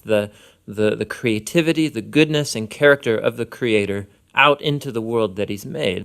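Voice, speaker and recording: A man's voice: medium pitch, gravelly. A man co-hosting a Bible lesson, explaining things informally in conversational explainer narration. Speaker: Bible teacher, co-host.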